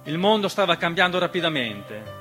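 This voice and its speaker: A man performing a theatrical narrative monologue, measured and speaking directly to the listener with long pauses. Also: on the high side, big pitch swings, sharp tone. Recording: piano music behind the voice. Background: piano accompaniment.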